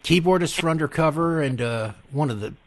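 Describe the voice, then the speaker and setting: A man's voice: a little high, brisk, dry recording. A man hosting a music podcast in a casual, informal and jokey way. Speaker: podcast host. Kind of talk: casual music interview and sponsor read.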